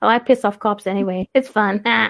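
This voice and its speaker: A woman's voice: mid-range voice, brisk, vocal fry, dry recording, studio quality. A woman in a casual chat, playful and teasing, laughing often.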